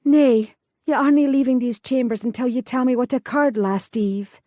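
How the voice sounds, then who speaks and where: A woman's voice: high-pitched, normal speed, dark tone, dry recording, clean studio sound, pitched above the performer's own voice, close to an everyday voice. A woman voicing a protective, stubborn young noblewoman who speaks firmly in old-fashioned phrasing. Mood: insistent.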